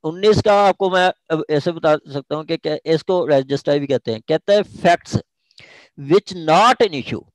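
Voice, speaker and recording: A man's voice: a little high, measured pace, no echo, rough recording. A man with a Pakistani accent giving an informal law lecture, explaining step by step, repeating phrases and often checking that he is understood. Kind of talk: informal lecture explaining legal articles with examples.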